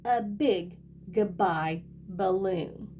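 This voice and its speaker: A woman's voice: medium pitch, drawn-out words, dark tone, slight background noise. A woman kindergarten teacher reading a picture book aloud and talking directly to young children in a sing-song way.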